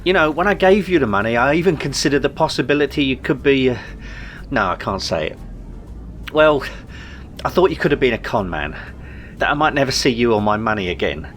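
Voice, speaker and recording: A man playing an earnest, apologetic investor, effusive and excitable but hesitant in his wording. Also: medium pitch, brisk, slight background noise, lightly acted.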